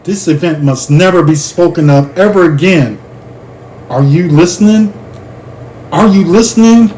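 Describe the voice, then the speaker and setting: A man reading a children's chapter book aloud in an animated, expressive way, speaking directly to the listener. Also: high voice, measured pace, a little hiss or hum, low-quality recording.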